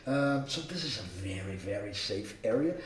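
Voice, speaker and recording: A man's voice: mid-range voice, animated, moderate pace. A man from Rio de Janeiro with a Brazilian accent, a native Portuguese speaker, informally briefing guests in an emphatic, didactic way with short repeated statements. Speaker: accommodation host giving a city orientation. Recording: roomy, distant mic, faint background noise.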